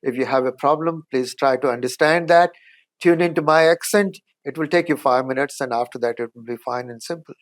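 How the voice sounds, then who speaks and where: A middle-aged man with an Indian accent, a professor answering interview questions in a measured, explanatory way with frequent pauses. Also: mid-range voice.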